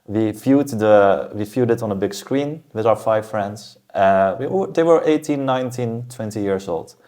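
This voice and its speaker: A man from Germany, a podcast guest, talking about his path in a steady, thoughtful way with frequent "uh" fillers. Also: lowish voice, moderate pace. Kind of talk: casual interview talk.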